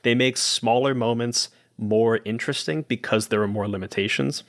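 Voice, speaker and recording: A man's voice: mid-range voice, steady tone, normal speed, a bit bright. A man chatting casually and eagerly as an enthusiastic guest player on a game podcast. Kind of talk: casual chat.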